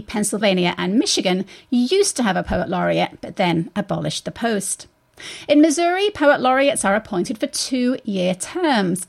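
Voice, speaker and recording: A woman's voice: medium pitch, creaky. A woman hosting an arts radio programme, articulate and wryly wordy as she interviews and introduces guests. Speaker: arts show host and interviewer.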